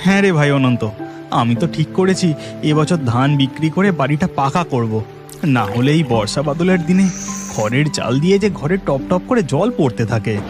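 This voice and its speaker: A man's voice: fairly high pitch, expressive, normal speed, music in the background, lightly acted. A man voicing a simple, friendly village farmer in a cartoon, talking in a familiar way. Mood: hopeful.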